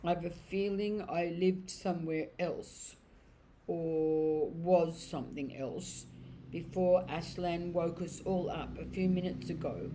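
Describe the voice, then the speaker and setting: Brief: woman voicing a gentle, slow-thinking old cab horse, halting and set back. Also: on the low side, steady tone, slight background noise, low-quality recording. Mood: thoughtful.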